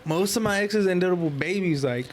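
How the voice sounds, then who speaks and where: A man's voice: sits high, normal speed, a little hiss or hum. A young man co-hosting a podcast in casual group banter, speaking bluntly with a lot of slang and swearing. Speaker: podcast co-host.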